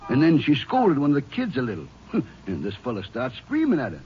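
An actor playing a folksy, nervous small-town Texas marshal with a rural Southern accent, rambling and hesitant, in 1950s radio drama style. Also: male voice, medium pitch, huge pitch swings, brisk. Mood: worried, talkative. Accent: rural American Southern. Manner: drawling, rambling, hesitant with uhs. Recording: dull old radio recording, faint hiss.

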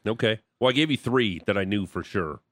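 Male radio host, Ontario accent, low and chatty, close dry studio mic. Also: lively intonation, brisk, no echo. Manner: chatty, self-mocking. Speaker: radio sports show host. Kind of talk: casual radio banter.

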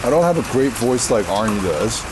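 Deep-voiced man answering questions in the rain. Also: sing-song, fairly quick, background noise. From Korea. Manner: rambling, filler-heavy, laughs easily. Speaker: interview guest. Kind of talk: casual interview answers.

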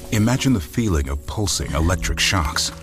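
A man narrating a scripted commercial in a measured, serious way. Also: low voice, animated. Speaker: ad voice-over. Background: music.

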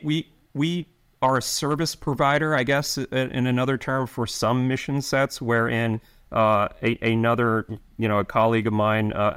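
Middle-aged male guest with a lowish voice; halting delivery full of restarts. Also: fairly bright tone, clear, resonant tone. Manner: halting, many restarts and fillers. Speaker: intelligence officer guest. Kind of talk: interview answers.

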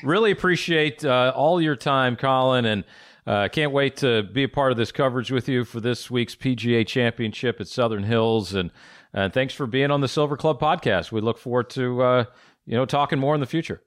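A man's voice: medium pitch, normal speed, dry recording. Friendly male podcast host, dry sound. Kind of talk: podcast interview.

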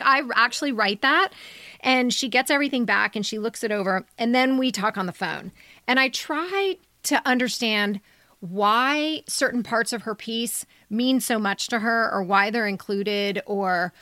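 A woman's voice: a little high, normal speed, pushed and bright, no room sound, clean studio sound. A woman podcast co-host and editor talking conversationally, with a candid, casually profane manner. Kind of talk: conversational podcast introduction and commentary.

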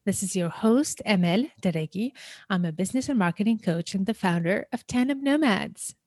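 A woman's voice: medium pitch, animated, moderate pace, a little mellow, dry recording, studio quality. A woman hosting a podcast interview, upbeat and enthusiastic, introducing her guest. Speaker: podcast host.

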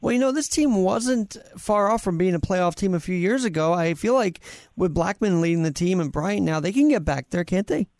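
A man with a notably high voice and a close, dry sound hosts a sports interview. He chats casually like an eager fan, steering with leading questions. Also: expressive, normal speed, a little mellow, dry recording.

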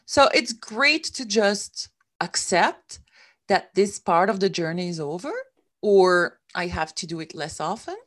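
A woman's voice: fairly low pitch, a little slow, fairly bright tone. A middle-aged woman from Switzerland, a guest telling her story in a candid interview, speaking English as a with small grammar slips. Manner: reflective, with some non-native grammar slips.

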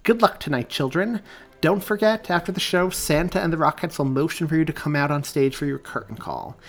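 A man's voice: mid-range voice, very animated, normal speed. A man voicing a stern, scornful old woman, a commanding theater director in an audiobook, with clipped delivery. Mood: brisk, commanding. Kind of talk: audiobook character dialogue.

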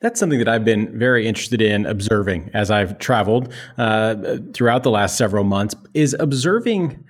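A man's voice: fairly low pitch, animated, a little fast, hardly any pauses. A man hosting a sales podcast, introducing a guest and chatting in a casual, conversational way. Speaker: podcast host.

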